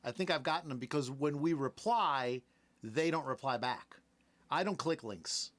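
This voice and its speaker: A man born in Cuba, a native Spanish speaker, hosting a casual radio chat about taxes, chatty and quick to laugh. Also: mid-range voice, fairly bright tone, no echo. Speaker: radio host, tax preparer.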